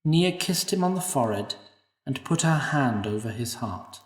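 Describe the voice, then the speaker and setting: A man narrating an audio drama in an expressive storytelling manner. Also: medium pitch, normal speed. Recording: echoey room, distant mic.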